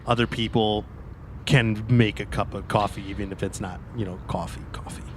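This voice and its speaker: A man's voice: on the low side, fairly even intonation, normal speed, a little hiss or hum, close to an everyday voice. A man playing a sullen young man in his twenties, blunt and profane, in a tabletop roleplaying game. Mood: bitter, brooding. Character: sullen, sweary 23-year-old man nursing an old grudge.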